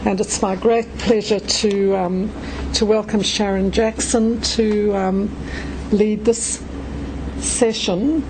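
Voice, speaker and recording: A woman's voice: mid-range voice, fairly even intonation, slow. A woman chairing a conference session, introducing the next speaker with hesitant, enthusiastic remarks. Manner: hesitant, with many ums, enthusiastic. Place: conference room. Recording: noisy conference recording.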